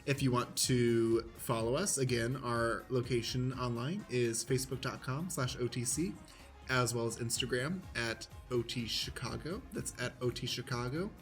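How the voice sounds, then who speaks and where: A man's voice: mid-range voice, normal speed, faint music behind. A man working as narrator and host of an improvised radio play, reading stage directions and announcements.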